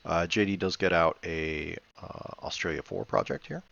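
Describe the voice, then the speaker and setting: A man's voice: deep voice, animated, moderate pace, gravelly, a bit bright, lo-fi sound. A man giving casual commentary on a board game replay, thinking aloud in a hesitant, matter-of-fact way. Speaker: board game player reviewing own replay.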